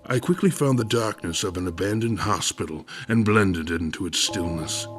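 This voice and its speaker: A man with a very low voice narrates a horror audio drama. He tells the story in a measured, ornate manner. Clear music runs beneath his narration.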